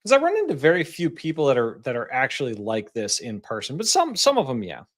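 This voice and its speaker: A man co-hosting a podcast, easygoing and agreeable, thinking out loud in casual chat. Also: mid-range voice, huge pitch swings, fast talker. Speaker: podcast co-host.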